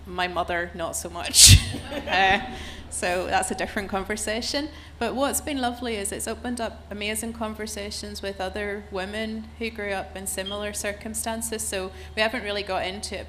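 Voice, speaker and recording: A woman from Northern Ireland talks as a novelist on a literary festival panel. Her accent is Northern Irish, and she speaks in a chatty, enthusiastic way. Her pitch sits in the ordinary middle. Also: fairly bright tone, a little hiss or hum.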